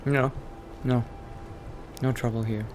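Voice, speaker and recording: A man plays a guarded, polite newcomer in an improvised game roleplay. He speaks haltingly and low-key at an average male pitch, with clear ambient noise behind him. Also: little pitch movement, natural voice. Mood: polite, hesitant. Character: hesitant, polite, secretive male newcomer adventurer.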